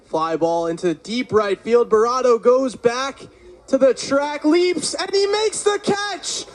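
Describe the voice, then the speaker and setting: A man's voice: very high-pitched, lively intonation, slow. A young man doing live radio baseball play-by-play, with vivid descriptive calls that rise with the action. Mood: excited. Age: young adult. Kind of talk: live radio sports commentary. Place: outdoors at a ballpark.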